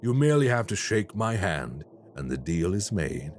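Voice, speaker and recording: A man voicing a cold, calculating crime boss in an audio roleplay, speaking in a slow, measured and formally menacing way. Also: low-pitched, big pitch swings, darkish tone, a little hiss or hum. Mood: menacing offer.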